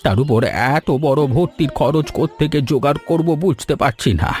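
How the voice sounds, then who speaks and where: A man's voice: on the high side, brisk, darkish tone, faint music behind, natural voice. A man voicing a humble, proud old grandfather who runs a food stall, speaking deferentially in a cartoon story. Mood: worried. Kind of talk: animated cartoon story dialogue.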